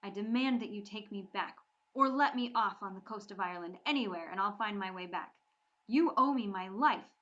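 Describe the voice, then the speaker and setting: A woman's voice: medium pitch, takes it slowly, muffled recording. A woman playing a fiery, defiant young Irish girl. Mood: scornful. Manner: scornful, loud outbursts.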